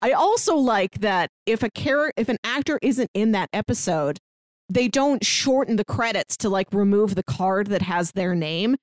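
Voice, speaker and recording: An enthusiastic woman co-host talks through a podcast in casual chat, laughing easily. Her voice sits at a mid pitch and is recorded close and dry, with no room sound. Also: animated, normal speed, dry recording.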